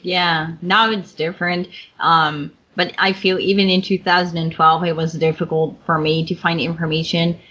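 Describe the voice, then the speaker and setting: A woman's voice: lowish voice, flat delivery, normal speed, hardly any pauses, a bit bright, muffled recording. A woman whose first language is Dutch, interviewing a guest in English in an encouraging way with many fillers, over a video call. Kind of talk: casual interview conversation.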